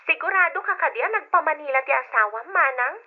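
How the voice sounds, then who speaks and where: A woman's voice: sits high, lively intonation, quick pace. An actress with a Filipino Ilocano accent playing a concerned younger woman reporting over the telephone in an old-fashioned radio drama. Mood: probing. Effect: telephone filter. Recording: thin, tinny phone line.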